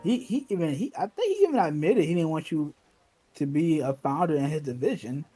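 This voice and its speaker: A man's voice: a little high, very animated, fairly quick, dark tone, soft background music. A man reminiscing in casual, slangy talk, emphatic and often repeating himself.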